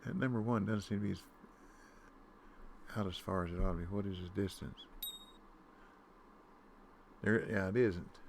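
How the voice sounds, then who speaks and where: A man with a deep voice giving casual commentary on his own gameplay, muttering to himself and humming nonsense tunes. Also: steady tone, normal speed, long pauses, slight background noise. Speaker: gaming YouTuber.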